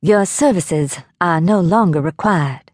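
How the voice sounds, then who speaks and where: A woman's voice: fairly low pitch, slow, no echo, studio quality. A woman voicing a folksy, politely blunt male henchman with cool menace in an audiobook thriller. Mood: cool, dismissive.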